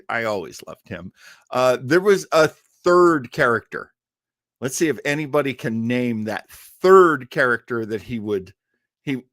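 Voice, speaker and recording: A man's voice: medium pitch, fairly bright tone, dry recording. Rambling, amused man hosting a livestream, dry sound. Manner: rambling, halting, amused, chuckles between asides. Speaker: livestream show host. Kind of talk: casual livestream chat, storytelling.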